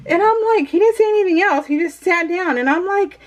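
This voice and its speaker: A woman's voice: very high-pitched, brisk. A middle-aged American woman chatting casually as a vlogger, telling personal stories in a rambling, emphatic way with exasperated asides.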